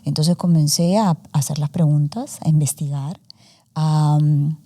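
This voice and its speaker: A woman's voice: low-pitched, fairly even intonation, a little slow, dark tone. A woman in her forties, a student journalist, talking through her story in a casual interview with many fillers and English words mixed in. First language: Spanish. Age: 40s.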